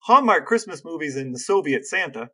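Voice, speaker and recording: A man's voice: a little high, big pitch swings, a little fast, pushed and bright. A man co-hosting a casual podcast with his brother, wry and mock-formal in tone. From American Midwest. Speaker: podcast co-host. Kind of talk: casual chat between brothers.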